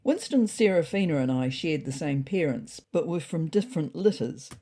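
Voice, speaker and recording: A woman's voice: deep voice, expressive, moderate pace, mellow. A woman voicing a thoughtful, slightly neurotic cat reading out a comic diary, dry and mildly exasperated. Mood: dry, wry. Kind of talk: scripted comic diary narration.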